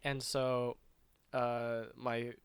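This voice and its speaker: A man's voice: medium pitch, level tone, extremely slow, clean tone, no echo. A man co-hosting a show, chatting casually about video games in a rambling, loosely explained way. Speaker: video game show co-host.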